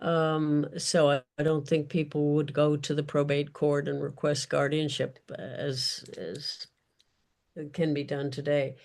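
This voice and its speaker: A woman's voice: low voice, level tone, unhurried, darkish tone. A woman author answering audience questions in an unscripted discussion, speaking carefully and often searching for her words. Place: virtual event.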